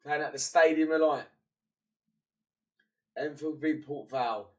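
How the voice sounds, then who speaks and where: Congested bloke, mic some way off. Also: male voice, fairly high pitch, measured pace, recorded from a distance. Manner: chatty and matey, muttering to himself between bursts. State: congested, voice partly gone. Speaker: streamer playing a football management game. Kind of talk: casual gaming commentary.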